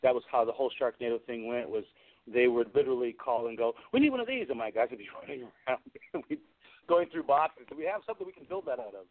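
A man's voice: medium pitch, lively intonation, fast talker. A man chatting casually as an interview guest, telling rambling, amused anecdotes about his work as a prop maker. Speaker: guest, movie special effects and prop maker. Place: remote call into a radio show. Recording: lo-fi, phone-like internet radio call.

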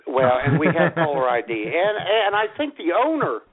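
Casual podcast co-host, male, gravelly edge, phone-thin sound, animated storyteller. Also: on the high side, normal speed, a loud crowd. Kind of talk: casual chat. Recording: tinny phone-like lo-fi audio.

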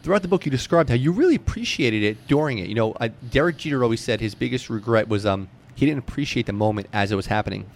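A quick-talking American man hosts a casual interview in a mid-range voice. His delivery is informal and blunt, like easy chat between two people. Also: mid-range voice, expressive. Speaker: interview host.

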